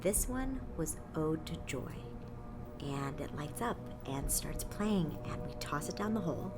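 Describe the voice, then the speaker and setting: An actress playing a casual, guarded tenant in a naturalistic scripted podcast drama, speaking tersely and matter-of-factly. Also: female voice, low voice, expressive, unhurried, loud music behind the voice. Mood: intrigued. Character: casual, guarded woman tenant devoted to a mysterious hole.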